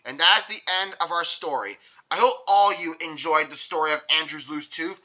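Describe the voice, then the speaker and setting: A man's voice: on the high side, normal speed, pushed and bright, low-quality recording. A young man, hosting a read-along video in an enthusiastic, repetitive way. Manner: enthusiastic, repeats himself. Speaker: video host reading aloud.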